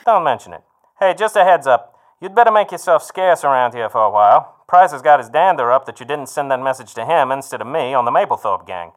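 Man playing a mid-pitched, plainspoken federal agent. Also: expressive, brisk, close to an everyday voice. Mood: wry, friendly. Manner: slangy, plainspoken lawman patter. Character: gruff, chummy male federal agent, wry and matter-of-fact. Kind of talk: 1930s noir audio drama acting.

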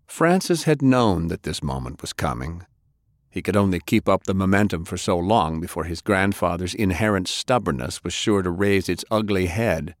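A man reading an audiobook in a measured, expressive way. His voice sits on the low side, and the studio sound is dry and close. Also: dry recording. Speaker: audiobook narrator.

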